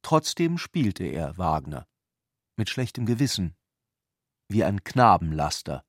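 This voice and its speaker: A man's voice: deep voice, big pitch swings, takes it slowly, no room sound, studio quality. A man reading scripted literary prose as the narrator of a radio adaptation.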